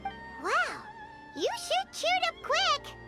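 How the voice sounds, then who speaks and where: A tiny girlish fairy sidekick for a game, extremely high and squeaky. The delivery is cutesy and exclamatory, with animated swoops and prominent music behind. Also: extremely slow, exaggerated voice. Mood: surprised. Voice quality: squeaky, childlike. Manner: cutesy, exclamatory, speaks in third person. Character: tiny childlike female fairy companion, excitable and indignant. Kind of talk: video game character dialogue.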